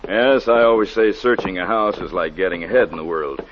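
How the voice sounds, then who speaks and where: Male police sergeant played by an actor, low-pitched, dutiful and plain-spoken, vintage radio drama, noisy muffled recording. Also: fairly quick, natural voice. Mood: polite, routine. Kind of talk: 1940s radio drama acting.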